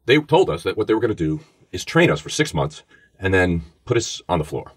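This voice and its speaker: A middle-aged man with a Bronx New York accent, telling his story in a casual, animated podcast conversation. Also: low-pitched, a little fast, slightly rough, no echo. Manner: animated storyteller, acts out quoted voices. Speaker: former FBI agent, podcast co-host.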